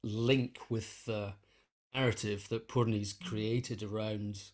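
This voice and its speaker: A man's voice: lowish voice, fairly even intonation, slow, a little mellow. A man being interviewed, explaining his work in a casual conversational way, thinking aloud and repeating phrases as he goes. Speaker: interview guest, composer and conductor.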